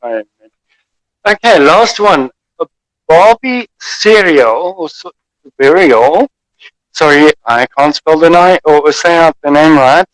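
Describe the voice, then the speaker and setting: South African radio host, Afrikaans native, halting with fillers, fairly high voice, dry sound. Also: male voice, animated, no room sound, poor audio. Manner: warm, hesitant, with many fillers. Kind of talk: interview over video call. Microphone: video call.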